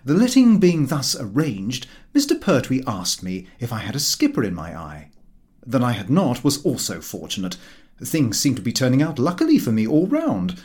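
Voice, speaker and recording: Animated male audiobook narrator. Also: mid-range voice, normal speed. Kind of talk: scripted narration of a comic novel.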